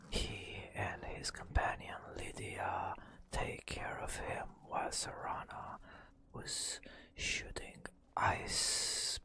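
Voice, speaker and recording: A man softly narrating a fantasy game adventure as ASMR storytelling, in a breathy whisper with deliberate, drawn-out phrasing. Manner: slow, deliberate phrasing with long pauses. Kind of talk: soft-spoken ASMR storytelling.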